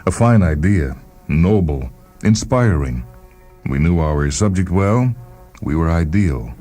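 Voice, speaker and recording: A man's voice: deep voice, lively intonation, takes it slowly, mellow, soft background music, full character voice. A man playing a bitter, haughty male scientist in a radio story, speaking with clipped disdain.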